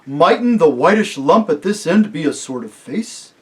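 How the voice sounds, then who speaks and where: A storybook reader voicing a gentle, thoughtful she-elephant who talks mildly and unhurriedly. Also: medium pitch, expressive, close to an everyday voice. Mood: musing. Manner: mild, musing, unhurried.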